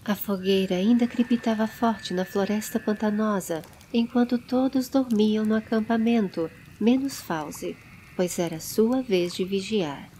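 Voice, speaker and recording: Brazilian female storyteller, fantasy audiobook narration, mid-pitched, ambient sound behind the voice. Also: unhurried, dark tone, slight background noise, rough recording.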